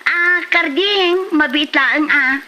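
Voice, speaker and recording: A woman playing an expressive, fussy young woman in an Ilocano comedy drama, with an Ilocano accent. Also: sits high, slow pace, exaggerated voice. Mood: puzzled.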